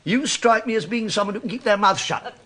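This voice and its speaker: An actor playing a charming, sly, flattering English detective inspector in a radio drama, teasing and coaxing. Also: male voice, high-pitched, fairly quick, slight background noise. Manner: teasing, wheedling, jokey. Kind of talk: British radio drama acting.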